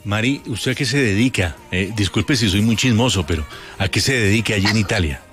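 Colombian radio host guy, lowish voice, lots of ehs, music bed behind. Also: fairly quick, fairly bright tone. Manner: hesitant, many fillers, playful. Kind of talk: late-night radio talk.

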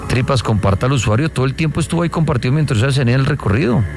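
A man's voice: mid-range voice, expressive, racing. Show host man over background music. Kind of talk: hosting a call-in show.

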